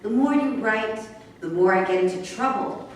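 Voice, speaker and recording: A woman's voice: mid-range voice, normal speed, natural voice. A woman reading the part of a stubborn, defiant young woman in a staged play. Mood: exasperated. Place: echoey room at a live staged reading. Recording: echoey room, far mic, faint noise.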